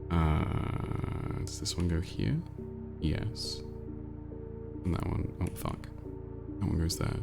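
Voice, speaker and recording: A man with a nasal, congested voice from a cold, chatting casually on a livestream close to the mic and reading viewer messages aloud in a relaxed, rambling way. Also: a bass voice, slow, vocal fry, long pauses, loud music behind the voice. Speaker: livestream host.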